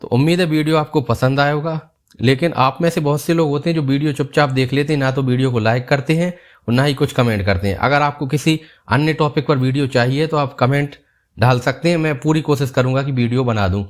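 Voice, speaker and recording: Male doctor, mid-pitched, calm step-by-step explainer. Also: brisk. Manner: calm, steady explaining, repeats key points. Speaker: doctor explaining health topics. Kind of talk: explanatory health video.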